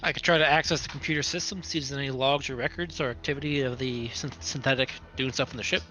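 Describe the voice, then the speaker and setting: A man's voice: mid-range voice, steady tone, fast talker, bright, forceful tone, poor audio. A man playing a tabletop roleplaying game, speaking casually in character to the other players.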